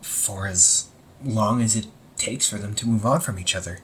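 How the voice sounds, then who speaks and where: A man playing an anxious, wry young supervillain in a casual audio roleplay, talking informally with exasperated sighs and halting phrases. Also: lowish voice, a bit bright, a little hiss or hum, natural voice, low-quality recording. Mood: wry, resigned. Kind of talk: amateur audio roleplay, casual conversational acting.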